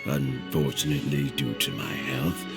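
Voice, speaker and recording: An actor voicing a courteous, frail elderly statesman in a video game, weary but dignified, with formal, measured speech. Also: very deep voice, gravelly. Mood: calm, explanatory. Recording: music playing behind the voice.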